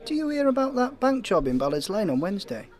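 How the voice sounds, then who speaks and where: A man's voice: high voice, sing-song, fast talker, a faint crowd. A man playing a cheeky, teasing young pub regular in a comic radio play. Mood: teasing, nosy.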